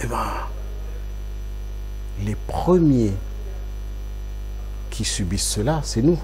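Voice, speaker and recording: A man's voice: medium pitch, animated, slow, darkish tone, faint music behind, low-quality recording. A man from Congo, an opposition politician speaking in an interview, making his points emphatically with rhetorical repetition. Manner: emphatic, rhetorical, repeats phrases for effect.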